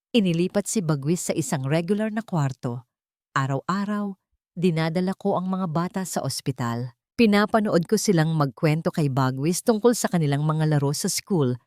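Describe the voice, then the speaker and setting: Woman, story narrator, calm and steady, earnest, fairly low voice, dry close sound. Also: no room sound. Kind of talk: scripted first-person storytelling.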